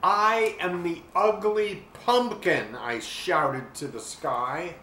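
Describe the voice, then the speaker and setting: An older man reading a children's storybook aloud expressively and deliberately, pausing to describe things. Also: high-pitched, bright, forceful tone. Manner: deliberate, with pauses to describe the pictures. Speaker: children's book reader. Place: small furnished room at home. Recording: roomy webcam sound with faint hiss.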